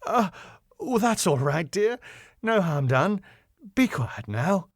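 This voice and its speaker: A man's voice: high voice, sing-song, slow, no echo, studio quality, voice pushed up, full character voice. A man voicing a flustered, officious middle-aged female councillor in a sitcom reading. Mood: embarrassed, placating.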